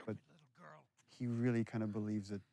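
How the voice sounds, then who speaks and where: A man giving casual behind-the-scenes commentary as a game director, telling it like an animated storyteller. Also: on the low side, moderate pace, dry recording.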